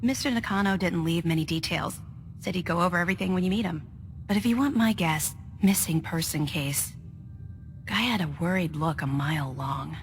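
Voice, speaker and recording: An actress playing a wry, teasing detective agency secretary in a video game, brisk and matter-of-fact. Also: female voice, lowish voice, big-room sound, faint music behind, lightly acted. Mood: businesslike. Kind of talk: video game noir voice acting.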